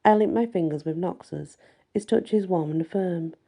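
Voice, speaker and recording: A woman reading a first-person fantasy story as soft ASMR audiobook narration. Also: fairly low pitch, normal speed, creaky. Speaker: story reader.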